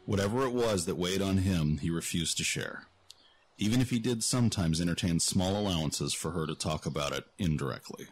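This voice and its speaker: A man's voice: sits low, animated, brisk, husky. A man giving scripted narration for a fantasy audio drama.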